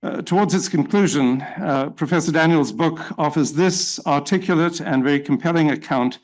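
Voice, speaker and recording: Middle-aged South African man, formal opening remarks, measured pace, many fillers. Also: a little high, low-quality recording. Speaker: symposium chair. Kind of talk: formal welcome speech.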